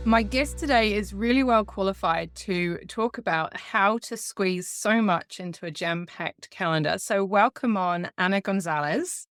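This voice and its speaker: A woman's voice: mid-range voice, measured pace, few pauses. A woman hosting a podcast, opening the show and guiding a guest with questions. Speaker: podcast host. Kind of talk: podcast intro and interview.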